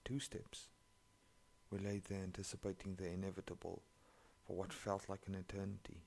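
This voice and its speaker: A man's voice: deep voice, completely flat delivery, normal speed, vocal fry, a little mellow. A man, not an English speaker, reading online stories aloud and breaking in with sarcastic, profane commentary. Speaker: story reader.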